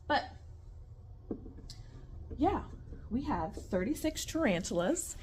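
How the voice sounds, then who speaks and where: A woman's voice: mid-range voice, slight background noise. A woman chatting casually to camera at home while showing her pet tarantulas, at times dropping to a whisper. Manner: chatty and animated, addresses viewers as y'all, drops to whispers near the spiders. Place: home room with tarantula enclosures.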